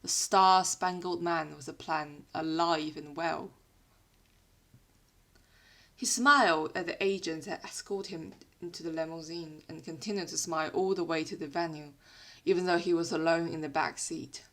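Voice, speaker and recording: A woman who is not a native English speaker, with a British-influenced accent, reads fiction aloud in an expressive, literary storytelling manner. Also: deep voice, moderate pace. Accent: British-influenced non-native English. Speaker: podfic reader. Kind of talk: dramatic scripted fiction narration.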